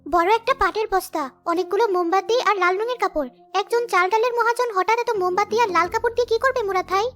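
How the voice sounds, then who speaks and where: Woman voicing a very high-pitched brave village girl. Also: fast talker, fairly bright tone, soft background music. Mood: confident, urgent. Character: brave, sharp-witted village girl, young, bossy. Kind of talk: cartoon story dialogue.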